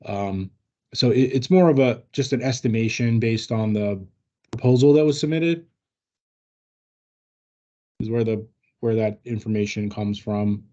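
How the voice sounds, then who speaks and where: A man explaining things informally to colleagues as a senior staff member, in a halting, measured manner. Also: on the low side, mellow, dull audio. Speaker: senior colleague answering trainees' questions. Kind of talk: informal explanatory workplace talk.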